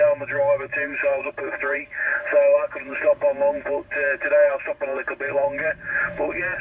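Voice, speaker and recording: A man with an East Midlands accent chats over CB radio in a matey, informal way. His voice is a little high. The signal is tinny and buried in very loud noise. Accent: English East Midlands. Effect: CB radio transmission. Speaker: radio net regular, works as a driver. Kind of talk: casual radio chat. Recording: very noisy, tinny, distant CB radio.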